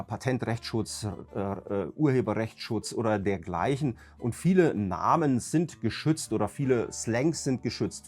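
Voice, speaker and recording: A man with a cold, hoarse and raspy, ranting emphatically as a YouTube host talking casually to his viewers. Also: mid-range voice, a little mellow. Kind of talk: casual vlog talk to viewers.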